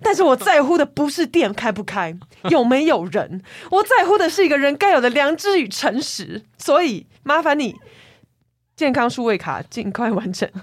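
一位女性演繹悲憤又愛說教的中年咖啡店老闆娘，口吻像鄉土劇般誇張。. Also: female voice, high voice, big pitch swings, moderate pace, dry recording, pitched above the performer's own voice. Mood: wounded, accusing. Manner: melodramatic, preachy, soap-opera delivery. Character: indignant middle-aged female cafe boss, melodramatic and wounded.

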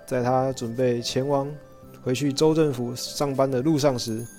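A young man narrates a radio drama from a script. His voice has a medium male pitch, and music plays underneath it. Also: steady tone, moderate pace, a little mellow.

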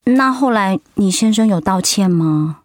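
A woman's voice: mid-range voice, animated, measured pace, no echo, natural voice. A woman acting a sympathetic, curious listener in a conversational radio drama.

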